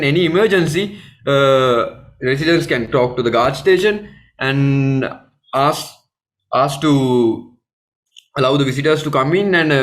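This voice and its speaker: A man with a Sri Lankan accent giving a live webinar training as a technical trainer, explaining step by step in halting, repetitive phrases. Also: mid-range voice, a bit bright.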